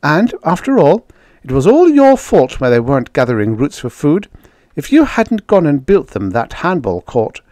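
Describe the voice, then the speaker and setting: A man voicing a brisk, bossy, stubbornly practical woman settler in a story, coaxing and defiant by turns. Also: a little high, huge pitch swings, darkish tone, no echo, voice pushed up.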